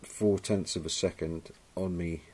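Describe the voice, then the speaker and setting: A man's voice: deep voice, fairly even intonation, a little slow, warm tone, breathy edge. A man giving a casual welding demonstration in a workshop, matter-of-fact and chatty, calling people "mate". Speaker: welding instructor. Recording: noisy workshop camera audio.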